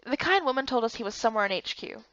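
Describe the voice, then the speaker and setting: Woman as a high-voiced, curious young heroine. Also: expressive, rapid-fire, fairly bright tone, no room sound, highs cut off. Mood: narrating. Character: young human heroine, curious, unsure, plain-spoken. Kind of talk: first-person visual novel narration and dialogue.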